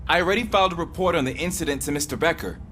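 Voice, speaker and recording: A man's voice: a little high, rapid-fire, pushed and bright, a little hiss or hum. An actor playing an earnest, self-doubting rookie policeman in a video game, polite and a little hesitant. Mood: earnest, apologetic.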